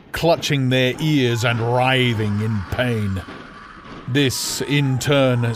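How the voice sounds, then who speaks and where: A male audiobook narrator delivers dramatic fantasy prose. His pitch is average for a man and his pace is markedly slow. The delivery is grave and theatrical. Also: a little hiss or hum.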